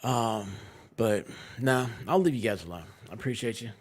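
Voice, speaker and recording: A man's voice: mid-range voice, expressive, normal speed. A man chatting casually as a livestream guest, rambling and self-deprecating. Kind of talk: casual chat.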